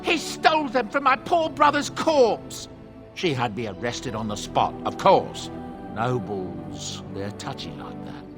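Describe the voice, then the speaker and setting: Chatty, animated dwarven merchant. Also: male voice, a little high, exaggerated voice. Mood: wry reminiscing. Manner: chatty, theatrical, self-justifying storyteller. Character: folksy, genial dwarven merchant, protective adoptive father. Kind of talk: video game character dialogue, storytelling.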